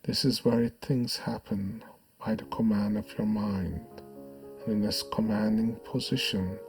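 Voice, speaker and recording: Man, hypnotist, lowish pitch, soothing hushed guided trance narration, measured phrases, lingering pauses, soft music underneath. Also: flat delivery.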